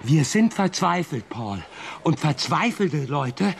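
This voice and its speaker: An actor playing a desperate farmer in a German radio drama, speaking in an insistent, challenging manner. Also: male voice, on the high side, very animated, slow, gravelly, full character voice. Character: desperate, insistent male farmer pleading for his land.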